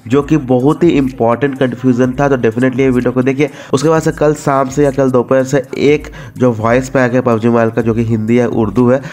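A man's voice: mid-range voice, level tone, brisk, few pauses, a little mellow. A man with an Indian accent giving a casual, informal gaming voice-over as host, mixing in English words and repeating himself. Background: background music.

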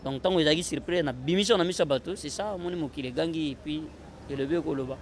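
Congolese guy chatting in an interview, voice a bit high, some street noise behind him on a handheld mic. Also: racing. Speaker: interview guest, comedian. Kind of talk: casual interview talk, switching languages. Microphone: handheld interview microphone. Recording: noisy outdoor handheld-mic recording.

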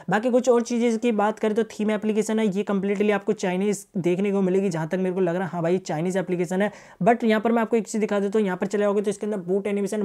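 Casting: young male tech reviewer, rapid delivery, softened mellow tone, chummy casual walkthrough style. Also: high-pitched. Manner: colloquial, addresses viewers chummily as brothers. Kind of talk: casual phone feature walkthrough.

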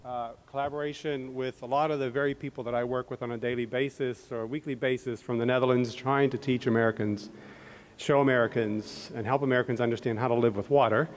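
A middle-aged man with a Pittsburgh accent speaks to an audience from a podium, his pitch sitting mid-range for a man. He talks conversationally, with "um"s and restarts. The sound carries the long reverb of a large hall. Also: steady tone, fast. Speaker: embassy economist and panel moderator. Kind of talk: public talk at a podium. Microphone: podium microphone.

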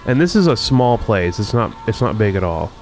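A man casually narrating a walkthrough of a rundown shopping mall, musing and unhurried with many pauses and fillers. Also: lowish voice, big pitch swings, a little mellow, faint music behind. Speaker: video host touring a closed-down mall.

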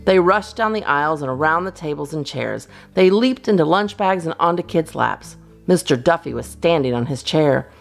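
A woman reading a children's storybook aloud as a storyteller, in an expressive, animated style. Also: sits low, moderate pace, gravelly, faint music behind. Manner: expressive, acts out dialogue. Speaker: storyteller, channel host.

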